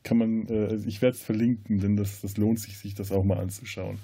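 A man's voice: lowish voice, level tone, moderate pace, mellow, slightly rough. A man chatting casually and wryly as a podcast host. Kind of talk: casual chat.

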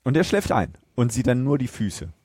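A man's voice: medium pitch, very animated, moderate pace, darkish tone, slightly rough, dry recording, clean studio sound. A man co-hosting a podcast, chatting casually about a film in a colloquial, agreeable way. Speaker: podcast co-host.

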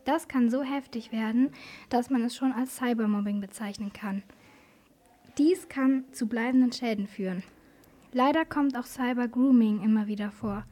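A woman's voice: on the high side, moderate pace, mellow. A woman presenting on a children's radio programme, reading a scripted explainer. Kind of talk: scripted explainer with posed questions.

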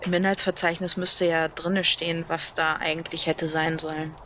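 A woman's voice: sits low, little pitch movement, normal speed, fairly bright tone, dull audio, slight background noise. A woman playing as a contestant, talking casually through an interactive game. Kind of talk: casual game play discussion.

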